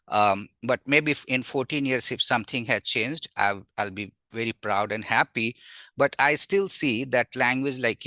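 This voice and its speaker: Bright-voiced Indian man giving a lecture. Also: mid-range voice, no room sound. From Bihar, India. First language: Magahi. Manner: animated, asks and answers own rhetorical questions. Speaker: university language teacher, invited webinar speaker. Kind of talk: informal academic lecture.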